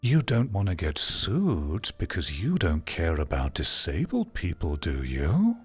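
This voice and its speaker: A man acts out a smarmy corporate scold in a satirical commentary, insinuating and falsely sweet. The pitch swings theatrically from low murmurs to high notes, with faint music behind. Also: huge pitch swings, measured pace, husky, full character voice. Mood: mock threatening. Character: smarmy, menacing corporate scold guilt-tripping game developers.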